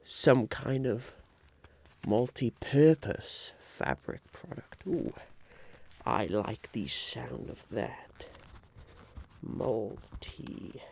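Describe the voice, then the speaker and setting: A man playing a scheming, eccentric businessman in an ASMR roleplay, musing haltingly and repeating his phrases. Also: medium pitch, very animated, creaky, a little mellow, heavily acted. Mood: intrigued.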